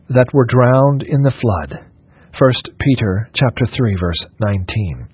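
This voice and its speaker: A man reading a scripted sermon in a measured, solemn and formal manner. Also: mid-range voice, husky, no echo. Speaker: reader of a historical sermon. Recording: narrow phone-like radio sound, dry.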